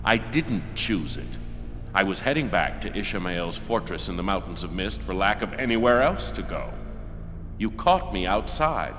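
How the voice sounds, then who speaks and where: In a cavernous reverb, a bitter fantasy game assassin. His voice is low and swings dramatically, sardonic and desperate, with music underneath. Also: lively intonation, normal speed, a bit bright. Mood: wary, sardonic. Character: desperate, bitter assassin, servant of a dark lord. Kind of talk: video game voice acting.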